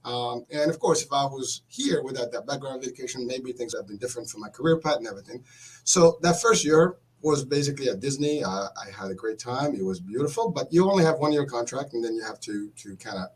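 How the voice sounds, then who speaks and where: A man in his fifties from Morocco, telling his life story in a casual chat, animated and repeating words as he goes. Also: mid-range voice, quick pace, poor audio.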